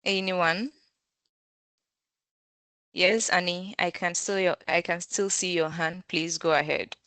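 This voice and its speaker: A woman facilitating an online workshop over a video call, measured and full of um fillers. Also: lowish voice, fairly even intonation, a bit bright, no echo.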